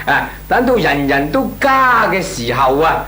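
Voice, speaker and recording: A man's voice: a little high, very animated, a little slow, pushed and bright, a little hiss or hum, full character voice. A male actor playing a nervous but cheeky employee in a comic radio drama, stammering and deferential yet pompous in argument, with a Hong Kong accent. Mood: cheeky insistence.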